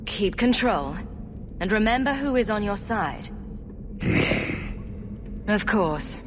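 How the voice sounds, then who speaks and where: A woman's voice: medium pitch, animated, takes it slowly, noisy background. A woman playing a level-headed, compassionate young adventurer in a video game, composed and questioning. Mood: calm, probing.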